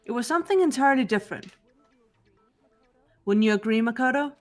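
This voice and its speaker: Woman voicing a composed teen girl sleuth for a fan game dub; fairly high, measured voice. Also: soft background music, natural voice. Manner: calm, measured. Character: calm, analytical teenage girl detective.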